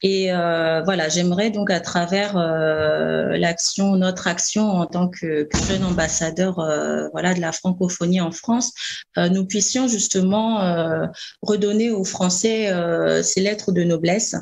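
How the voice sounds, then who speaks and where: A woman in her thirties from the Congo, introducing herself over a video call, earnest and halting with many fillers. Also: fairly low pitch, creaky, a little mellow. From Republic of the Congo. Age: 30s. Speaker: youth ambassador. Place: bright room by a window. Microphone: laptop webcam on a video call.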